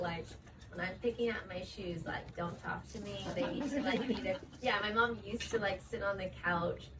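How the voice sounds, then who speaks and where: A chatty woman dancer answers interview questions easily and casually, leaning on "like" and "um" as she goes. She sits back from the microphone, so her voice sounds a little distant. A steady hum of room noise runs behind her. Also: on the low side. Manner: chatty, frequent fillers like "like" and "um".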